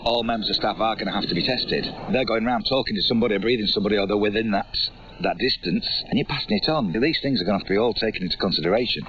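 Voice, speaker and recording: A man's voice: lowish voice, racing, fairly bright tone, slight background noise. A man with a Yorkshire accent, a pub landlord talking informally in a radio interview. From Yorkshire, England. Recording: muffled longwave radio capture.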